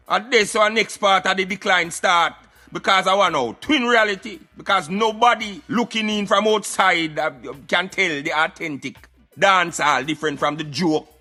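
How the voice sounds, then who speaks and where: A man acts as an opinionated Jamaican Rasta-style commentator with a high, forceful, bright voice. He lectures emphatically in patois, repeating words as he drives points home, over a light music bed. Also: exaggerated voice. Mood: scornful. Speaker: radio show commentator. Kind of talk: satirical social commentary in patois.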